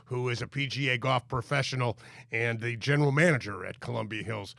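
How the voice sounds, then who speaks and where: A man hosting a sports talk show, congested from a cold, talking in an energetic, emphatic way. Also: mid-range voice, fairly quick, gravelly, dry recording, studio quality. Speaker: sports radio host.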